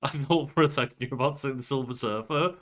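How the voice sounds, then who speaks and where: Bright-voiced man bantering on a podcast. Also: medium pitch, fast talker. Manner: sweary, joking. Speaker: podcast co-host. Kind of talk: casual chat, commentary.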